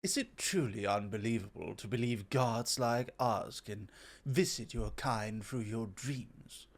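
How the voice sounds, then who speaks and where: A man playing a proud, courtly immortal god of innovation in an ASMR roleplay, with formal, gently teasing speech. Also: on the low side, unhurried.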